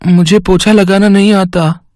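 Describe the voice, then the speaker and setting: A man acts a poor maid's little son from a storybook. He is meek, innocent and pleading, in a highish, dry-recorded voice. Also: fairly even intonation, a little slow, darkish tone, no echo. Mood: timid pleading.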